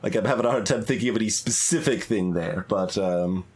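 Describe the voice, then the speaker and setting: A man's voice: on the low side, a little fast, a little mellow. A man giving casual playthrough commentary, thinking aloud in a hesitant, rambling, analytical way. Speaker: game streamer. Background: background game music.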